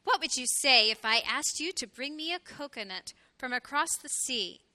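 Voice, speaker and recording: A gentle mother hedgehog from a children's storybook, voiced by a woman, tenderly putting questions to her little one. She speaks softly and evenly, in a high feminine register with a close, dry sound. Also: lively intonation, no echo, exaggerated voice. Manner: soft, tender, measured questioning. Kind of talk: children's storybook read aloud.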